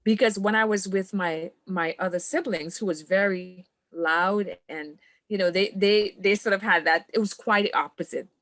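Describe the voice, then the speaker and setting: A woman's voice: medium pitch, moderate pace, few pauses, a bit bright, no echo. A woman from Trinidad, a coach, speaking as a podcast guest in an earnest, reflective way and laughing often. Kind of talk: podcast conversation.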